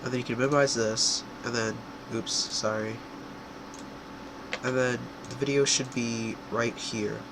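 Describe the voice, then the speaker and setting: A young man with a stuffy, congested voice, talking casually through a screen-recorded software tutorial, full of fillers and quick self-corrections. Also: mid-range voice, fairly even intonation, background noise. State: congested from a cold. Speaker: tutorial creator.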